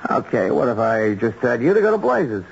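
An actor playing a jumpy small-time crook in a vintage radio drama, talking in folksy, ungrammatical slang. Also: male voice, medium pitch, big pitch swings, a little fast, vocal fry. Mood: grudging defiance. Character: jumpy small-time male crook, potentially violent, easily spooked. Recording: dull, hissy old radio recording.

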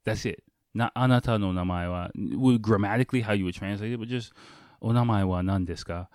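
A man's voice: fairly low pitch, fast, darkish tone, no room sound, studio quality. A man hosting a podcast solo, talking in an informal, conversational way with casual swearing. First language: English. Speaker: podcast host.

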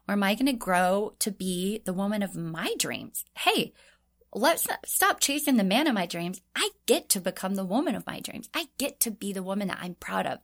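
A woman in her thirties from Southern California, a beauty coach sharing a personal testimony in a podcast interview with animated, expressive storytelling. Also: mid-range voice.

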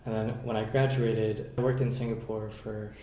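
A man's voice: lowish voice, little pitch movement, normal speed, mellow, roomy sound, a little hiss or hum. A young man speaking as a guest, hesitant and matter-of-fact as he recounts where he has lived.

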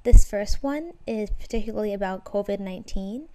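A woman's voice: medium pitch, moderate pace, mellow. A young woman hosting a podcast in a casual, enthusiastic, conversational way. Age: young adult. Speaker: podcast host. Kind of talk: casual podcast intro and interview.

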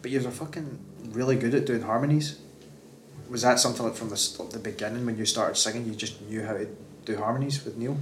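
A Scottish man hosts a casual sit-down podcast, firing off quick, meandering questions full of fillers. His medium-pitched voice bounces around a reverberant room over a faint background hiss. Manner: rapid, rambling questions with fillers. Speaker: podcast host. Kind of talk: casual interview chat. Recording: echoey room.

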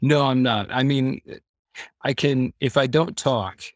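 A man's voice: medium pitch, slow pace, no echo, studio quality. A man with a Midwestern American accent, chatting and telling personal stories as a podcast guest in an easygoing, joking way. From Iowa, American Midwest. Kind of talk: casual chat, personal storytelling.

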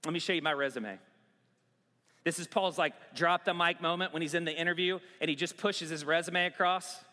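A pastor preaching a sermon in a conversational way, mixing scripture with pop-culture asides. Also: male voice, on the high side, a little fast, sharp tone, in a large hall.

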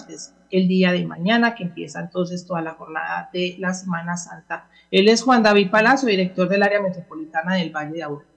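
Woman, Colombian accent, radio news presenter, mid-range voice, news delivery. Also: medium pitch. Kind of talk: news presenting with introductions to reports.

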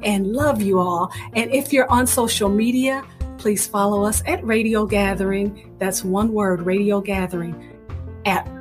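A woman's voice: mid-range voice, fairly even intonation, unhurried. A woman hosting a radio show, introducing it in an emphatic, enthusiastic way. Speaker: host. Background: music behind the voice.

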